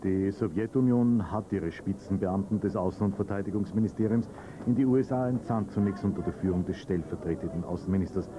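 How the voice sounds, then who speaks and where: Male reporter voice-over; low-ish; muffled recording with clear street ambience. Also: a little fast. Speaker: news reporter. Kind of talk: scripted news voice-over. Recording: dull lo-fi report with street noise.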